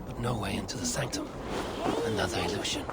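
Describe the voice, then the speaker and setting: An actor playing a discreet, probing Norse god in a video game drama, speaking in a measured, terse way. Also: male voice, lowish voice, gravelly, very noisy. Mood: guarded, curious. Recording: loud game ambience behind.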